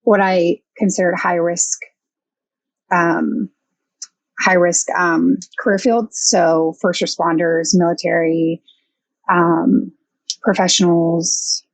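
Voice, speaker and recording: A young woman, a counseling intern and guest, talking thoughtfully with many pauses and fillers. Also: fairly low pitch, fairly even intonation, vocal fry, no room sound, rough recording. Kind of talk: casual podcast interview.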